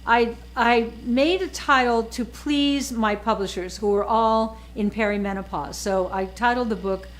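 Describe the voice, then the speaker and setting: An older woman in her sixties talks in a relaxed, conversational way with a fairly high voice. She leans hard on key words and often repeats herself to drive a point home. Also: unhurried, hardly any pauses. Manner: emphatic, repeats words, animated. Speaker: author and guest. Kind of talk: casual chat.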